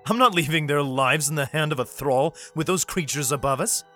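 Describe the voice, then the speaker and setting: A man's voice: fairly high pitch, a little fast, a bit bright, natural voice. An actor playing a grim, protective father in a science fiction audio drama, somber and guarded as he tells his story. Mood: guarded, stern. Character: grim, protective father fleeing alien invasion with his children. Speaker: narrator and lead character. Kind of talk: dramatic first-person audio drama narration. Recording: music under the voice. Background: dramatic music.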